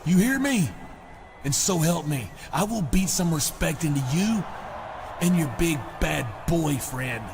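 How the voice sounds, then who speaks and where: A blustering wrestling heavy in a game cutscene, smarmy and overfriendly one moment, snarling insults the next. His voice sits high for a big man, and a steady hiss runs under the game audio. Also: unhurried, gravelly. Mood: threatening. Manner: loud, boastful, turns to insults. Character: blustering male wrestling champion, fake-friendly bully. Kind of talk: video game cutscene acting.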